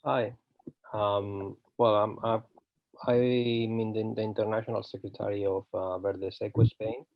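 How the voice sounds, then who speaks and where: A man's voice: lowish voice, little pitch movement, measured pace, vocal fry, dry recording. A man introducing himself on a call, hesitant and modest. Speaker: party international secretary. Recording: dry, dull lo-fi call audio.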